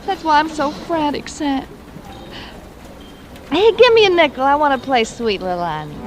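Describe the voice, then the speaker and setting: A woman's voice: sits high, animated, moderate pace. An actress playing a frantic, chatty woman confiding in a detective in a 1940s radio noir. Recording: slightly noisy vintage radio recording.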